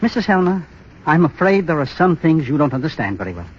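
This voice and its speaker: An actor playing a desperate, menacing moneylender in a 1930s radio drama, insistent and coldly insinuating. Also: male voice, a little high, sing-song, quick pace, husky. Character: desperate, menacing bank clerk and blackmailing moneylender. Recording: muffled, scratchy 1930s radio broadcast.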